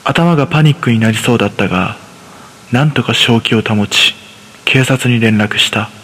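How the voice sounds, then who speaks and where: A man's voice: medium pitch, normal speed, a bit bright. A man narrating a scripted horror story in the first person. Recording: long reverb on the voice.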